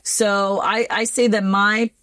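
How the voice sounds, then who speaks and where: Podcast guest: animated American woman in her forties, dry close sound, chatty and laughing. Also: mid-range voice, no room sound. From United States (New Jersey). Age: 40s. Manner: animated, chatty, laughs often, quick asides. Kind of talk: casual interview storytelling.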